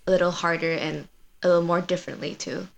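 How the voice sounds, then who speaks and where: A woman's voice: lowish voice, fairly even intonation, fast talker, creaky, highs cut off. A woman in her twenties from Malaysia, chatting as a student filmmaker guest in a loose, conversational way full of fillers. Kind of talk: casual chat.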